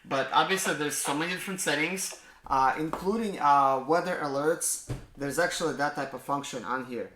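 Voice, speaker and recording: A man casually unboxing a gadget on his vlog, often exclaiming with excitement. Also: on the high side, moderate pace. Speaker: mobile mechanic YouTuber. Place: indoors at home. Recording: echoey room with faint noise.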